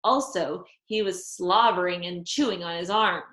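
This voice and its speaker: A woman reading a children's storybook aloud with deliberate, dramatized, expressive phrasing for young listeners. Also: fairly low pitch. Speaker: storybook reader. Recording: echoey room.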